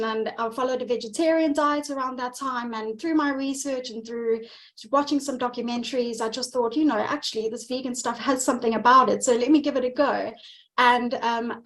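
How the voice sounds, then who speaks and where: South African woman, 30s, dietitian presenting over a video call; earnest, repeats words for weight, slightly high voice. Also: a bit bright, poor audio. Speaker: dietitian giving a webinar. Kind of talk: presentation talk.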